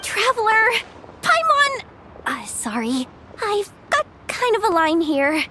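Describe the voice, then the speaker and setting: A woman's voice: very high-pitched, sing-song, slow, noisy background, exaggerated voice. A woman playing a flustered, overworked young guild organizer in a video game, hesitant and apologetic. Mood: surprised, apologetic.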